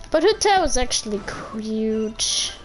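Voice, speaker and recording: A woman's voice: on the high side, a little mellow, rough recording. A woman chatting casually and playfully as she streams a game, sometimes muttering in a sing-song way. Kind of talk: casual playthrough chat, reading lines aloud.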